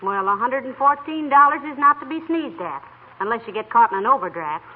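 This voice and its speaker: A woman's voice: a little high, lively intonation, fairly quick, lightly acted. A woman with an American accent playing a patient, teasing middle-aged wife with dry wit in an old radio comedy. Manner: gently chiding, dry teasing. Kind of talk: 1940s radio comedy acting. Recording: tinny, noisy old-time radio recording.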